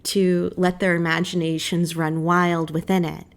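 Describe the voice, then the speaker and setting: Slow, measured woman being interviewed. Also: fairly low pitch, little pitch movement. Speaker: theater director, interview guest. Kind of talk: thoughtful radio interview.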